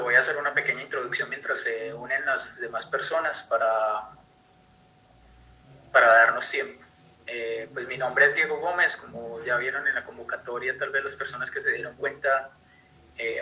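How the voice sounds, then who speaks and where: A man's voice: medium pitch, bright, forceful tone, low-quality recording. A young man from Colombia with a Colombian accent, giving an online conservation talk with many fillers and restarts. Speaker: conservation speaker. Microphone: computer mic on video call.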